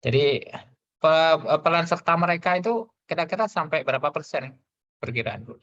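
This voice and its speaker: A man's voice: a little high, lively intonation, moderate pace, fairly bright tone, highs cut off. A man with a strong Javanese accent, speaking as a coach in a chatty, rambling conversation.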